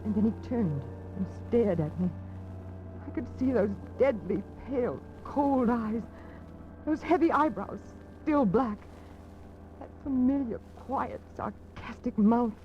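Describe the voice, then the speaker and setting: A woman's voice: on the high side, lively intonation, slow, slight background noise. An actress playing a frightened young woman who speaks anxiously and stammers in a 1940s radio drama, heard through a dull lo-fi broadcast recording. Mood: fearful.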